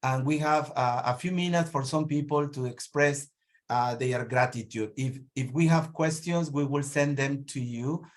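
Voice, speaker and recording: A man's voice: mid-range voice, normal speed. A man hosting and moderating an online meeting, courteous and repeating himself as he urges people to speak. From Peru.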